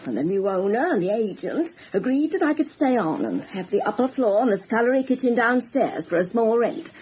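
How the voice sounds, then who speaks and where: An actress playing an older widowed village housekeeper, chatty, plain-spoken and deferential, in a radio drama. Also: female voice, a little high, very animated, fairly quick, a little mellow, breathy edge, low-quality recording. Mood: matter-of-fact.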